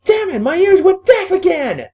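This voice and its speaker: A woman's voice: very high-pitched, big pitch swings, moderate pace. A woman delivering a scripted video review in a blunt, exasperated, opinionated manner. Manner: blunt, exasperated, freely swearing. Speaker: online anime reviewer. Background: music.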